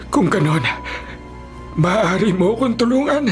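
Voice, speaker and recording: An actor playing a troubled, commanding Pharaoh of ancient Egypt in a theatrical, imperious radio drama style. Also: male voice, high voice, very animated, a little slow. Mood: grave, urgent. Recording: radio drama over clear background music.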